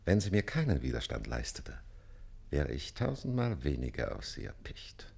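A man playing a haughty, jealous nobleman in a theatrical radio play, speaking imperiously and sometimes dropping into slow muttering. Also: wildly swooping pitch, gravelly, darkish tone, slightly rough, heavily acted. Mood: brooding. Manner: imperious, swinging from booming questions to slow muttering. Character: haughty, jealous, lustful nobleman count and master.

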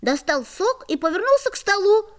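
A woman playing a lively, curious schoolboy who narrates a children's audio play in an expressive, boyish way. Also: very high-pitched, normal speed, full character voice. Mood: lively, wondering.

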